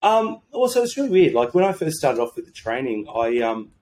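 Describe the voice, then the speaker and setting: A slightly croaky Australian man talking in a rambling, informal, mildly sweary way as a podcast guest. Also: mid-range voice, sing-song, brisk, a little mellow. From Sydney, Australia. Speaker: podcast guest, medical doctor and entrepreneur. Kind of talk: casual conversational interview.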